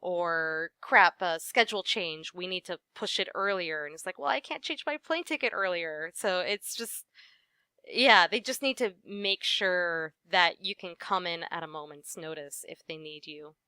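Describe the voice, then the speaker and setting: A young woman talks shop in a relaxed interview, her voice crisp and smooth. She laughs easily and peppers her answers with fillers. The sound is dry and close, with a bright edge. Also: lowish voice, unhurried, dry recording. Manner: chatty, lots of fillers and laughs. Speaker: voice actress, interview guest. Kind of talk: casual interview chat.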